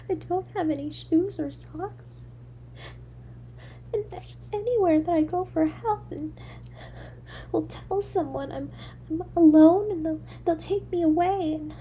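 A woman voicing a frail, frightened little street girl in an audio drama, timid, halting, shivering and tearful. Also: very high voice, warm tone, slight background noise, full character voice. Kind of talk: dramatic audio story monologue.

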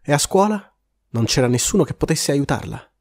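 An actor playing an earnest journalist in a scripted mock-documentary prison interview. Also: male voice, a little high, sing-song, a little fast, a little mellow. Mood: puzzled, probing. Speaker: actor in a comedy sketch.

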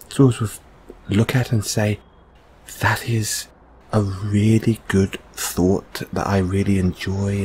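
Man acting gentle boyfriend, slow and low. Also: slight background noise, poor audio. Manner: soft, hesitant, soothing, unhurried. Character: gentle, reassuring boyfriend comforting a partner. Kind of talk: intimate comfort audio roleplay.

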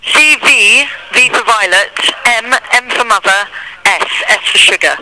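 A woman's voice: a little high, pushed and bright. A British woman working as a receptionist, speaking politely but firmly on a phone call. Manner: brisk, firm, polite. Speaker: school receptionist. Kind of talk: formal telephone conversation. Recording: phone call with faint line noise.

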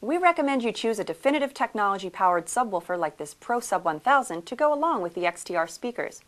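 A woman's voice: medium pitch, sing-song, quick pace. A woman reading scripted promotional narration for a product video in a polished, upbeat sales manner.

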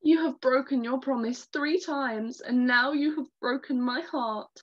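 Storybook caterpillar, young and female, gentle but reproachful as a woman reads her, slightly high voice, dull lo-fi sound. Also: measured pace. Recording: dull lo-fi recording.

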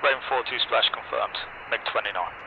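A man with a medium-pitched voice gives terse pilot calls over noisy radio. His phrasing is procedural military brevity, spoken into a headset during flight sim roleplay. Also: fairly quick, narrow, tinny audio. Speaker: virtual squadron pilot.

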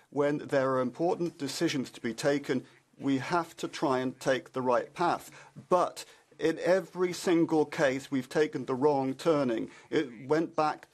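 A man simultaneously interpreting a parliamentary speech, halting with frequent fillers and then pressing on in quick bursts. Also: medium pitch.

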